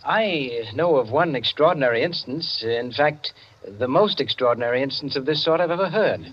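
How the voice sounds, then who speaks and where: An actor playing a measured, enigmatic gentleman storyteller in 1940s radio drama style. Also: mid-range voice, lively intonation, close to an everyday voice. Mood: intriguing, measured. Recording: muffled old radio recording.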